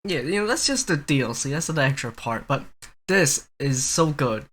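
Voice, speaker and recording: A man's voice: a little high, lively intonation, moderate pace, fairly bright tone. Rambling young man chatting casually. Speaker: podcast host. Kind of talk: casual podcast chat.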